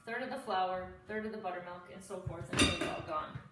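A woman's voice: fairly low pitch, fast. Echoey, distant woman giving cooking instructions. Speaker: home cook hosting a cooking show. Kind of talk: casual cooking demonstration, step-by-step instruction. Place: home kitchen, indoors, dish clatter behind. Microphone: camera a few steps away. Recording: roomy, distant camera mic with clear noise.

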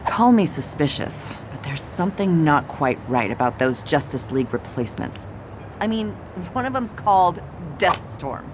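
Una reporter sicura di sé. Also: female voice, low-pitched, sing-song, moderate pace, noisy background. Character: brisk confident female star reporter.

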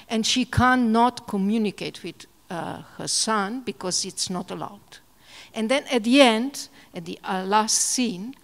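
A middle-aged woman moderating a literary conversation on stage, thoughtful, trailing softer at phrase ends. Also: mid-range voice. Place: large hall, on stage before audience. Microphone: handheld mic. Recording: hall with long reverb.

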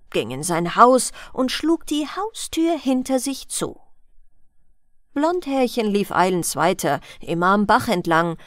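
Slow, expressive woman reading a fairy tale. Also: a little high, dry recording, studio quality. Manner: gentle, expressive storytelling. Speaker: audiobook narrator.

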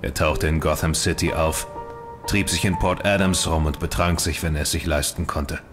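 Very deep, grim investigator. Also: male voice, fairly even intonation, moderate pace. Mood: grim, factual. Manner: terse, factual, grave. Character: grim man investigator reporting a missing man's history. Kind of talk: audio drama dialogue. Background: music.

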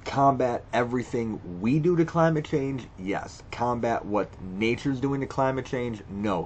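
A man with a fairly low voice reads quiz statements aloud and answers them on the spot. He is blunt and profane, often stammering and restarting as he thinks. A faint hiss sits under the recording. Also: darkish tone, lo-fi sound. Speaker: video creator. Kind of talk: reading quiz questions aloud and reacting. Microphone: screen recording with voice-over.

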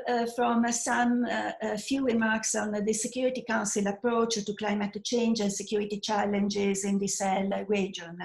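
A woman's voice: medium pitch, vocal fry, a little mellow. Echoey older Italian woman lecturing. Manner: halting, hesitant delivery with frequent filled pauses. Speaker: professor giving a lecture. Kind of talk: formal academic lecture, partly read from notes. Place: small indoor room at home or office. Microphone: video call computer microphone. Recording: roomy, echoey webcam sound.

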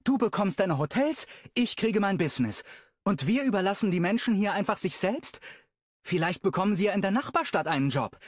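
A man reading an audiobook and voicing a fiery, sharp-tongued young woman in a raised, high register. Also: big pitch swings, a little fast, no room sound, clean studio sound. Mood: angry.

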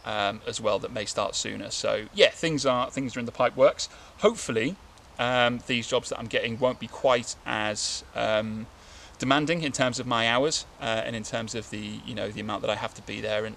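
A man's voice: medium pitch, gravelly, a bit bright. A man, talking casually to camera while sitting at his woodland camp, rambling with lots of fillers and restarts. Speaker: bushcraft vlogger. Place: outdoors in winter woodland, seated under a tarp. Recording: light outdoor background noise.

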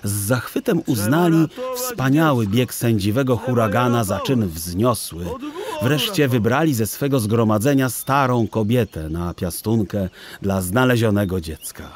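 A man's voice: mid-range voice, animated, measured pace. A man narrating a children's audiobook expressively, with theatrical, dramatic phrasing.

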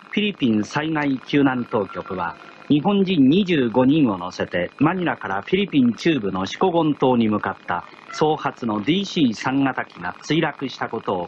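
A man reading a news bulletin as an announcer, in a measured, formal manner. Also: medium pitch, sing-song. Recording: dull lo-fi broadcast, faint noise.

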